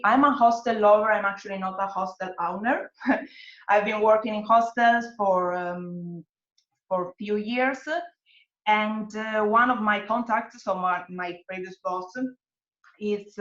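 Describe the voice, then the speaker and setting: A woman with an Italian accent talks as a guest on a video call, friendly and pausing to find her words. The sound is muffled and dull, with a hollow roomy ring around her voice. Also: medium pitch, a bit bright. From Italy.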